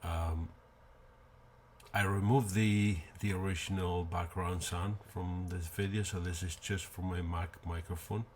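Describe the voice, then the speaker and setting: A man's voice: deep voice, fairly even intonation, a little slow, a little mellow, slight background noise. A man with a Mexican accent casually unboxing and reviewing a microphone in his woodworking shop, rambling with dry self-mocking humor and many fillers. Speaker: woodworking channel host.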